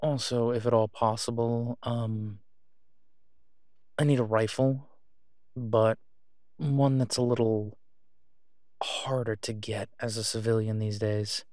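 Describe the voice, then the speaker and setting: A man playing an awkward, guarded man in a naturalistic audio roleplay, speaking hesitantly and low-key. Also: steady tone, slow, pauses often, darkish tone, no echo, studio quality, close to an everyday voice. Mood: careful, halting. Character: awkward, guarded man discreetly seeking gear to protect someone.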